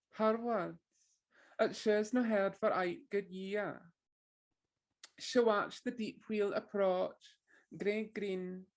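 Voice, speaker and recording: A woman poet with an Orkney accent, talking thoughtfully with many pauses and restarts before reading her verse. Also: mid-range voice. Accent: Orkney Scots. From Orkney, Scotland. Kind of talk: poetry reading with introduction.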